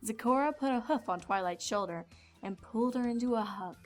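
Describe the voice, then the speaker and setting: A woman's voice: fairly high pitch, brisk. A woman reading scripted storybook narration as the narrator. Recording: music behind the voice.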